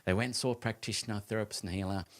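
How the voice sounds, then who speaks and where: A man in his late fifties co-hosting a casual conversation, talking in an animated, emphatic way with frequent restarts and the odd casual swear word. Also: lowish voice, racing. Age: 50s. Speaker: co-host of a live conversation show.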